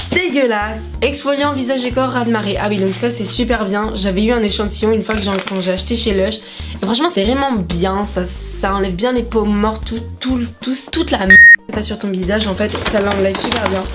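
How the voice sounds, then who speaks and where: A young woman, chatting casually in a vlog, swearing a lot and tossing in playful bits of mock English, with music behind her. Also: medium pitch. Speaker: vlogger. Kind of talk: casual haul vlog chat.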